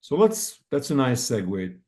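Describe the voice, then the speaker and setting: A man's voice: mid-range voice, big pitch swings, moderate pace, darkish tone. Chatty man hosting a podcast. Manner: loose and chatty, with "like" and "um". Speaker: podcast host. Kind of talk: casual interview chat.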